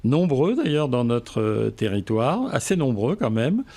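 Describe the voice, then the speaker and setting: An older man from France answering political interview questions as a local official, speaking haltingly and repeating words. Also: mid-range voice, sing-song, warm tone.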